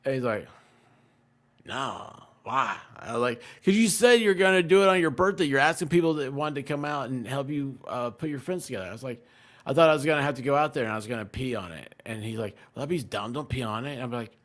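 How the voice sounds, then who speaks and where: A man with a very animated, swinging delivery rambles casually through jokey anecdotes. He talks like a live stream co-host among friends, often acting out bits of conversation as he goes. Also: medium pitch, fast. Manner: jokey, tells stories by quoting people in exchanges.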